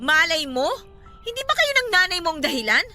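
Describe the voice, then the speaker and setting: A young Filipino mother in a radio drama, desperate and angry. Her voice is extremely high and piercingly bright. She speaks with heated, emphatic sarcasm over dramatic music. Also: sing-song, fairly quick, full character voice. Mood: bitter, sarcastic. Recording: dramatic music bed behind the voice.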